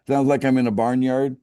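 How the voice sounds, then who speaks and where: A middle-aged American man from the West Coast, pitching a business opportunity on a casual group call, often restarting sentences and asking the group for agreement. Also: dark tone, clear, resonant tone, no echo, clean studio sound. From United States, West Coast. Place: outdoors by a home pool.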